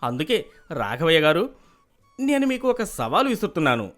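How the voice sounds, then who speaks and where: Casting: male actor as earnest young doubter, confident, high-pitched and expressive, animated moral story. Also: normal speed, soft background music. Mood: defiant. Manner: questioning, confident. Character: earnest, skeptical, city-educated young man.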